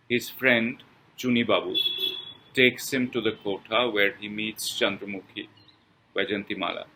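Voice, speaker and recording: A man reading a scripted film review as presenter, with measured, halting, deliberate phrasing. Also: on the low side, bright, forceful tone, rough recording. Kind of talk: scripted read-aloud review with pauses.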